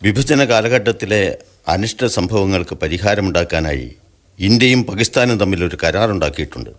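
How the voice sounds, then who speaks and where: A man playing a government official in a radio drama, formal and measured, dropping English apologies. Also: low voice, expressive. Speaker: official from the high commission.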